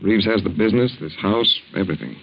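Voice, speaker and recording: An actor playing a protective young suitor in a 1940s radio drama, earnest, urgent and reassuring. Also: male voice, on the low side, lively intonation, fast, gravelly, breathy edge, heavily acted. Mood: urgent, explaining. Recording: dull old 1940s radio recording.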